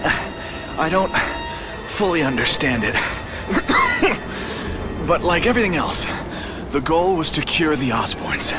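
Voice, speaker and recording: A halting, coughing scientist. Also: male voice, a little high, wildly swooping pitch, loud music behind the voice, heavily acted. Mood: nervous. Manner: hesitant, halting, explaining with many fillers. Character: hesitant middle-aged male scientist, hurt and coughing. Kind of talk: video game cutscene acting.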